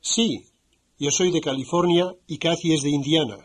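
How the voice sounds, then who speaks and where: A man playing a modest American sergeant who speaks Spanish in a language-course dialogue, slowly and clearly for learners. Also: fairly high pitch, natural voice. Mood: clear, deliberate. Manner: slow and clearly spoken for learners.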